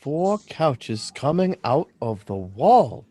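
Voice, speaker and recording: A man's voice: medium pitch, very animated, takes it slowly, mellow. A man playing a curious, naive young man in a radio drama reading, hesitant and full of questions. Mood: amazed. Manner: wide-eyed, hesitant, questioning.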